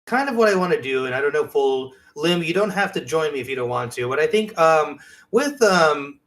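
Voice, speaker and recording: A man with a somewhat high voice speaks as a podcast co-host. His delivery is casual and conversational, in the style of a relaxed chat about video games. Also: fairly quick.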